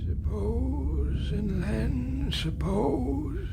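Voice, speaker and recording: Frantic, creaky old man, high-pitched. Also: drawn-out words, loud music behind the voice, full character voice. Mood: stunned, brooding. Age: older. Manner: agitated, stammering, muttering to himself. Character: frantic, lonely old man stranded alone on Mars. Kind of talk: radio drama acting.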